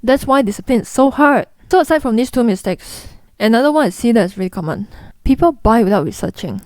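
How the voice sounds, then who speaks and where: A woman's voice: on the high side, animated, a little fast, darkish tone, no room sound. A young woman with a Singaporean accent, explaining investing mistakes to camera in a blunt, chatty way. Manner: blunt, chatty, mimics people in quotes. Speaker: investing vlogger.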